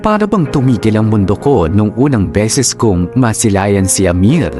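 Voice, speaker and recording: A man's voice: fairly low pitch, expressive, moderate pace, lightly acted. A man playing a lovestruck office worker, narrating a love story in the first person with dreamy, gushing delivery. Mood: dreamy, smitten. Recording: music playing behind the voice. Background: music.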